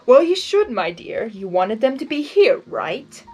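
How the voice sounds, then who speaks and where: Woman doing a smug draconic trickster, slow teasing delivery, lively pitch. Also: soft background music. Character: mischievous male chaos creature, teasing, smug.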